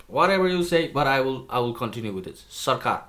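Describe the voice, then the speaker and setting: Nepali guy talking up hip hop tracks, chatty, excited, mid-pitched voice, jokes about his fake accent. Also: brisk, very noisy. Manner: chatty and enthusiastic, admits a put-on accent. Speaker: music reviewer. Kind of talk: casual video review.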